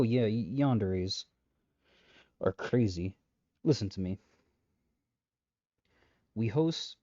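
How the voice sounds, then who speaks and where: A man's voice: on the low side, dark tone, dry recording, highs cut off. A man playing a shady, flippant matchmaker in a comedic audio roleplay, rambling sarcastically to the listener with breezy menace. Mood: exasperated, insistent. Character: shady, flippant male matchmaker coercing a trapped customer.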